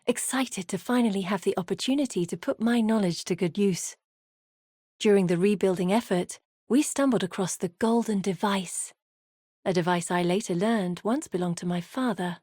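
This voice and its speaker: An actress voicing an earnest, guilt-haunted woman explorer and guide in a fantasy video game, speaking in a grave, measured, confiding way. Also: medium pitch, a little mellow, no echo, clean studio sound, lightly acted. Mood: reflective. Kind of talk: scripted dramatic game dialogue.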